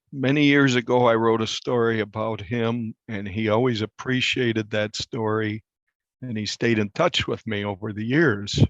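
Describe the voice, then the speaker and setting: Gravelly older man, dull recording. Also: mid-range voice, no echo. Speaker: newspaper reporter interviewed about a story. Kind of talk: conversational interview recollection.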